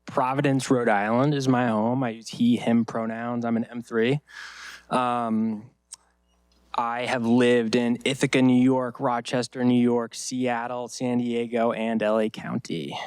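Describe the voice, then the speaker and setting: Flat-toned, smooth-voiced man. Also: medium pitch, a little slow, dry recording. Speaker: third-year medical student.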